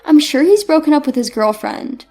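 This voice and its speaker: A woman's voice: high-pitched, lively intonation, normal speed, dark tone, soft background music. A woman reading a scripted first-person story as narrator.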